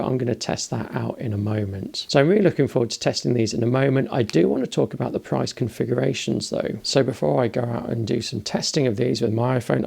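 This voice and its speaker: British guy doing a casual gadget review, really creaky gravelly voice. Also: mid-range voice, darkish tone. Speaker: product reviewer.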